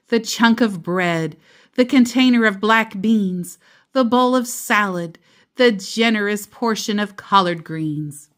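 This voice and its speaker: A woman reading a children's picture book aloud expressively as a storyteller, with deliberate, dramatic emphasis on descriptive words. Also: medium pitch.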